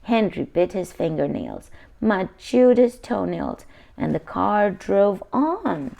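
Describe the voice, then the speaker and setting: A woman's voice: mid-range voice, sing-song, slow pace, vocal fry, dark tone. A woman reading a children's picture book aloud expressively, adding simple asides for young listeners.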